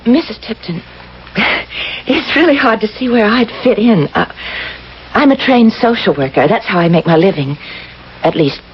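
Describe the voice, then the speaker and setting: Woman acting nice nervous young lady, highish lilting voice, hesitant, old radio drama, bit muffled. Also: animated, moderate pace, a little hiss or hum, lightly acted. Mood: earnest, uneasy. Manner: hesitant, deferential, puzzled, nervous little laughs. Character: earnest, polite, timid young woman job seeker.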